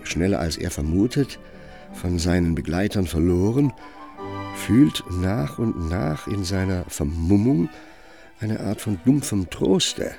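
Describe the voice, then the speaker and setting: Male narrator for literary drama: very low, creaky voice, grave measured reading, music underneath.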